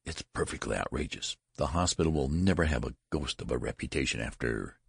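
Stern, scolding nurse, played by a deep-voiced man. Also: expressive, a little fast, vocal fry, slightly rough, no echo, clean studio sound, heavily acted. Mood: contemptuous. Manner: stern, scolding, hushed at times. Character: severe, contemptuous hospital nurse, a woman.

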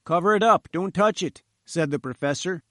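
A man's voice: high voice, moderate pace, a bit bright, no echo, studio quality, pitched above the performer's own voice. A man voicing a gloomy, nervous chief engineer in an audiobook story, with an uneasy, emphatic manner. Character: nervous, lugubrious chief engineer, wary and stubborn. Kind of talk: audiobook character dialogue.

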